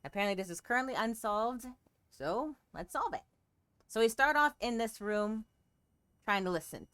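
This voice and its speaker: A woman's voice: fairly high pitch, lively intonation, normal speed, a bit bright, clean tone. A woman giving casual gameplay commentary with wry little asides as she goes. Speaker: game streamer.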